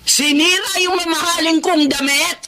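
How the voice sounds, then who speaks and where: A woman's voice: fairly even intonation, moderate pace, a crowd in the background. A woman voicing a cruel, abusive rich mistress of the house who screams insults at her maid. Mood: scolding. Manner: shrill, scolding, insulting. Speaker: voice actor.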